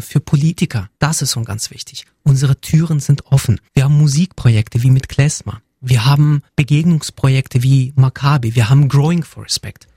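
A man who grew up in Germany speaks earnestly as an interview guest, often building his answers as lists. His voice is warm and a little husky. The sound is dry and close, with no room in it. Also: medium pitch, no echo. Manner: earnest, list-like phrasing. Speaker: guest, community representative.

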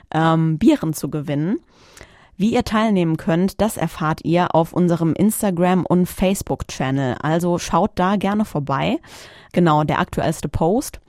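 A woman's voice: on the low side, a little mellow, no echo. A woman hosting a podcast alone, chatting informally in a studio and often searching for her words. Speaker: podcast host. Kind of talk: casual solo podcast announcement.

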